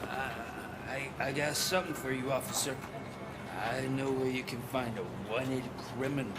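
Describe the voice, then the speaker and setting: An actor playing a brazen, dim wanted criminal in a video game, pushy and indignant in manner. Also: male voice, medium pitch, normal speed, heavy background noise, close to an everyday voice.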